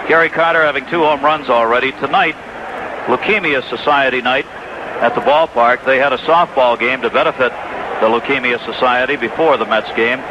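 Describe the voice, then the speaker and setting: A man's voice: medium pitch, a little fast. An American man calling baseball play-by-play live on 1980s radio. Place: stadium broadcast booth. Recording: noisy, dull vintage AM radio broadcast.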